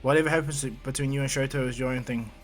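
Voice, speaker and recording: A man's voice: medium pitch, steady tone, quick pace, close to an everyday voice. A brash, cocky teenage hero, voiced by a man close to his everyday voice. He talks in a boastful way, and faint music plays behind. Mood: apologetic. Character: cocky teenage boy hero with copy power.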